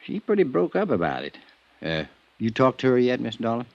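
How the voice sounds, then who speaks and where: A man's voice: mid-range voice, huge pitch swings, fairly quick, creaky, no room sound. An American man acting a folksy small-town sheriff, stubbornly protective and plain-spoken, in an old-time radio drama with a dull vintage sound. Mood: defensive.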